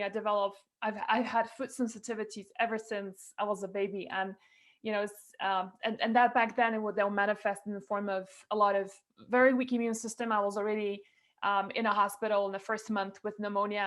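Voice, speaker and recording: A middle-aged woman guest on a video call, telling her own story with animated gestures and frequent fillers and restarts. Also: mid-range voice. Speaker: guest, nutrition coach. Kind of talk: candid interview storytelling. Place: indoors at a window with a snowy forest view. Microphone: video call, webcam.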